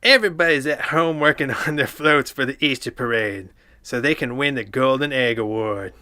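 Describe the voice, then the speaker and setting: A man's voice: medium pitch, normal speed, sharp tone, no room sound, studio quality, close to an everyday voice. A man voicing a cartoon sponge as a bratty, whiny kid, reading from a children's storybook. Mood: excited.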